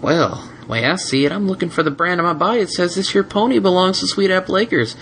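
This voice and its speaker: A man's voice: high-pitched, quick pace, fairly bright tone, a little hiss or hum, voice pushed up. A man voicing a nervous but defiant young stallion who stammers in a Southern drawl. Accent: Southern US drawl, put on. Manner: stammering, earnest.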